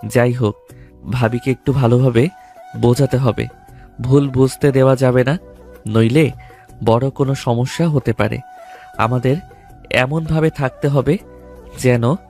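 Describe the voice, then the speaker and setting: A man's voice: mid-range voice, darkish tone, close to an everyday voice. A man playing a coaxing young lover in an audio love story. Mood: thoughtful, wary.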